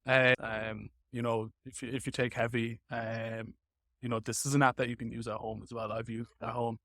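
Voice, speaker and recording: A man hosting a casual tech podcast chat, with hesitant, halting phrasing. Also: mid-range voice, level tone, gravelly, fairly bright tone, dry recording. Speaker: podcast host.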